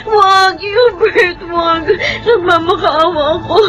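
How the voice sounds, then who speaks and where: A woman's voice: extremely high pitch, takes it slowly, a crowd in the background. A woman playing a devoted, submissive young girlfriend in an emotional radio drama. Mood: desperate, tearful. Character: devoted, pleading young woman clinging to her boyfriend. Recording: radio drama with background music. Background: music.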